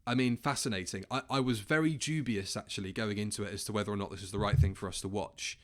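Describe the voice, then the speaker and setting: Man chatting casually as podcast host. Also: fairly low pitch. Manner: halting, sweary, self-correcting. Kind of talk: casual commentary chat.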